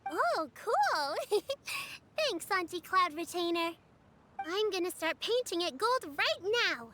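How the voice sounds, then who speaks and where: A woman's voice: extremely high pitch, sing-song, takes it slowly, pushed and bright, slight background noise, heavily acted. A woman voicing a sweet, earnest little girl in a game cutscene, with a light childlike voice and eager, polite manner. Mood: curious, delighted. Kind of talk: video game cutscene acting. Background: music.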